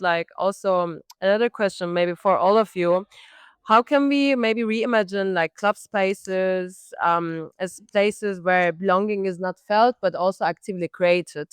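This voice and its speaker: A woman moderates a live panel talk, pausing often on fillers as she frames her questions. Her voice is very clear and the sound is dry and close. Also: mid-range voice, dry recording. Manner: hesitant, many fillers and likes. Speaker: podcast host and panel moderator.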